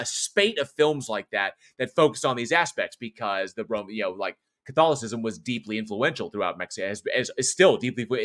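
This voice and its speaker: A man's voice: mid-range voice, sharp tone. A middle-aged American man with a non-regional accent hosts a horror show and chats casually. He rambles, reads viewer comments aloud and often restarts or corrects himself. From United States, born in California. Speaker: horror show host and voice actor. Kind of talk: casual live-stream chat, reading viewer comments.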